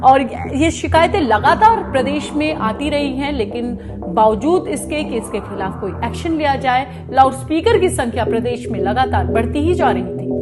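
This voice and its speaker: High-voiced woman presenting news over music. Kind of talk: explanatory news presentation to viewers. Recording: music bed under the voice. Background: background music.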